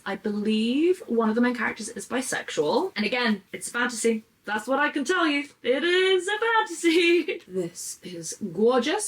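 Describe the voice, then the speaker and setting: A woman's voice: medium pitch, fairly bright tone, rough recording. A woman chatting casually and enthusiastically as she shows off books, gushing in the manner of a book vlogger. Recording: clear background noise.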